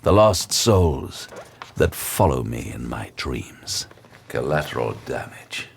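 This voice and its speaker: Gravelly, brooding detective hero. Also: male voice, a bass voice, sing-song, slow, slight background noise, heavily acted. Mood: haunted, somber. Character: weary, haunted male demon-hunting detective, grimly wry. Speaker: lead character narrating in the first person. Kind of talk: dramatic audio drama narration and dialogue. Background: dramatic music.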